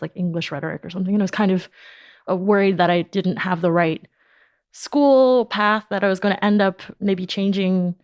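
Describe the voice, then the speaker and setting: Lively, laughing woman podcast founder. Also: medium pitch, dry recording, studio quality. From New York, United States. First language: Spanish. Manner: animated storytelling, laughs often. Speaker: podcast company founder, guest. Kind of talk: interview answers.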